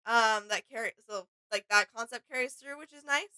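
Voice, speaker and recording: High, bright-voiced young woman. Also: a little fast, clean tone. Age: young adult. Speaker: reaction video co-host. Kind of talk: casual reaction chat. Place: indoor home studio room.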